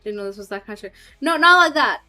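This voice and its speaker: A woman's voice: a little high, animated, quick pace, bright, forceful tone, faint music behind. A woman streamer chatting casually with her viewers and reading chat aloud, giggling often and trailing off with fillers. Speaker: streamer and hobby voice actor.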